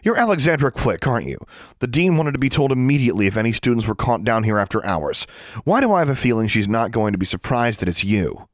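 A man's voice: mid-range voice, animated, fast, no echo, studio quality, pitched above the performer's own voice, lightly acted. A man voicing a big, no-nonsense woman custodian in an audiobook story, melodic yet brusque and challenging. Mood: stern, exasperated. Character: tall, hefty, no-nonsense female school custodian.

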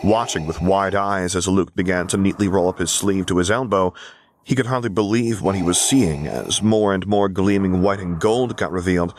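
A man with a low-pitched voice narrates a story in audiobook style. The recording carries a noticeable layer of background noise. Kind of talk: scripted fiction narration. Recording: audible background hiss, clicks.

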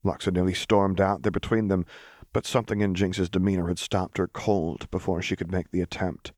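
Deep-voiced man reading dramatic fiction. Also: little pitch movement, brisk, a little mellow, dry recording, studio quality. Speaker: audiobook reader. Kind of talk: scripted dramatic fiction narration.

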